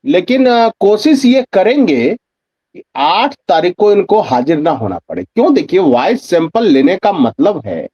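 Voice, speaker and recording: Male guest commentator, slightly high voice, pointed explanatory talk, dull low-fidelity sound. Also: big pitch swings, unhurried, dark tone, slightly rough, no echo. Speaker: senior journalist and political analyst, guest. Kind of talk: conversational political commentary.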